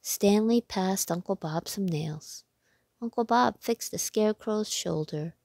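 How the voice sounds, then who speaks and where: A woman reads a children's story aloud. Her pace is slow and measured, her voice has noticeable creak, and the recording is dry and close. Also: medium pitch, darkish tone, no echo. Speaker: read-aloud narrator. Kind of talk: scripted children's storybook narration.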